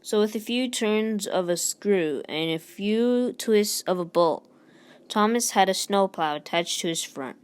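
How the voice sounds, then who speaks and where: A woman reads a children's story aloud in an expressive scripted narration style. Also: medium pitch, measured pace, smooth. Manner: expressive, lifts voice for character dialogue. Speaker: storybook reader.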